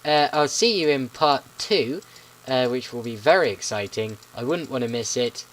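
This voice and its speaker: A man's voice: mid-range voice, expressive, normal speed, a bit bright, low-quality recording. A teenage boy in secondary school giving chatty, polite play-by-play commentary on a video game, often stumbling over his words. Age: teens.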